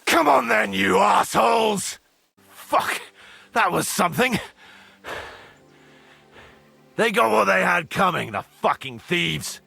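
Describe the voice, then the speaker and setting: A man playing a blunt, mocking, foul-mouthed medieval mercenary commander in a video game, with a husky, forceful voice over music. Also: medium pitch, sing-song, measured pace, dry recording, exaggerated voice. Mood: fierce, triumphant. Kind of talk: video game cutscene acting.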